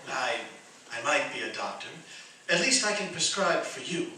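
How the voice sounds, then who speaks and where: A man acting a calm, moralizing mysterious visitor in a radio play, earnest and gently reproving. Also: mid-range voice, normal speed. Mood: gently probing. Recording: distant, echoey, noisy stage recording.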